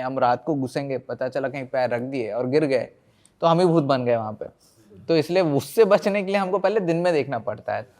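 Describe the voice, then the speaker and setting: A man's voice: on the high side, darkish tone. An Indian man, a paranormal investigator, telling stories conversationally as a podcast guest, mixing Hindi and English and acting out reported dialogue.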